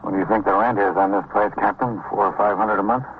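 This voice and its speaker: An actor playing a coolly observant, world-weary detective lieutenant in a vintage radio drama, casual, wry and terse. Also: male voice, fairly low pitch, steady tone, fast talker, close to an everyday voice. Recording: dull, noisy vintage radio recording.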